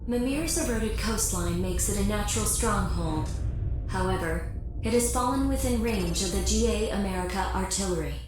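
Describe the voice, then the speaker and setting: An actress playing a composed military officer in a game, delivering mission briefings in a formal, measured manner. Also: female voice, medium pitch, background noise, natural voice. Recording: very echoey, music behind.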